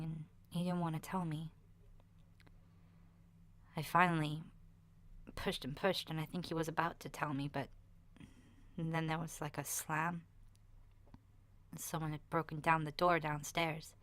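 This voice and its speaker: A woman acting a soft, breathy child survivor. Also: low voice. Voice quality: soft, breathy, partly whispered. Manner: hesitant, rambling, confiding. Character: chatty, nurturing child survivor of zombie apocalypse. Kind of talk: ASMR roleplay monologue, soft spoken and whispered.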